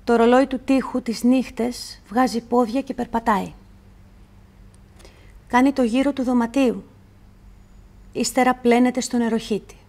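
A woman reciting literary poetry in a measured, deliberate way, with pauses between phrases. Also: fairly high pitch, steady tone, darkish tone. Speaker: poetry reader.

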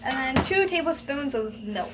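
High-voiced teen girl vlogging in kitchen. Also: animated, moderate pace. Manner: hesitant, chatty, trailing off mid-sentence. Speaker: home cooking vlogger. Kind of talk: casual cooking demonstration. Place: small home kitchen, indoors. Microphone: phone camera on the counter. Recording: home video with background noise.

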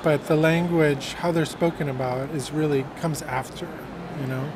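A man speaking quickly and enthusiastically, explaining an artist's work in a casual conversation. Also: a little high, fairly even intonation. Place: large indoor art fair hall. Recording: noisy hall ambience.

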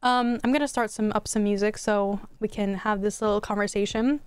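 A woman's voice: medium pitch, fairly even intonation, fairly quick, clean tone, no echo, soft background music. A young woman streaming from home, chatting casually and rambling with restarts, her voice a little worn from hours of talking. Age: young adult. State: voice going hoarse from a long stream. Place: home streaming room, indoors. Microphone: studio mic on a boom arm.

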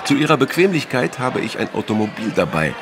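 An actor playing a dutiful police inspector in an audio drama, speaking in a formal, courteous way. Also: male voice, mid-range voice, sing-song, brisk, background noise. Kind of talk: German audio drama acting.

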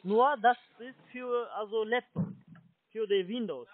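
A high-voiced man, not a German speaker, works as a call-centre agent. He gives polite, halting step-by-step instructions over a dry, muffled telephone line. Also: no echo. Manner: polite, halting, with repeated confirmations. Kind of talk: salesman giving step-by-step instructions on a phone call.

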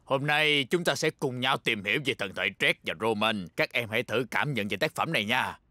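A man's voice: mid-range voice, expressive, a little fast, bright, forceful tone, no echo. A man voicing a mild, attentive reading teacher in a children's cartoon dub. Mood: instructive.

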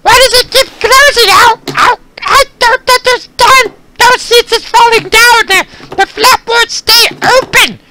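Through loud noise comes an extremely high-pitched little dog. It is excitable, hungry and impatient, pleading in frantic, repeated bursts. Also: unhurried, hardly any pauses. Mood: desperate, frantic. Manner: frantic, repetitive, pleading exclamations. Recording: noisy recording with loud clicks.

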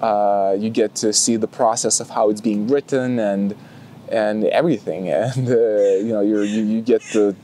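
A man's voice: on the low side, steady tone, normal speed, mellow, slight background noise. Young guy w/ lithuanian accent vlogging outside on a field, chatty, faint ambience behind. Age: young adult. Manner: chatty, frequent restarts and fillers. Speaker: martial arts vlogger. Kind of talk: casual talk to camera. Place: outdoors on a grassy field. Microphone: camera close in front.

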